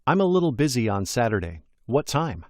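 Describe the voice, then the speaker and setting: A man's voice: medium pitch, big pitch swings, a little fast, a little mellow, no echo, clean studio sound, lightly acted. A man reading a scripted listening-test dialogue with careful, polite delivery.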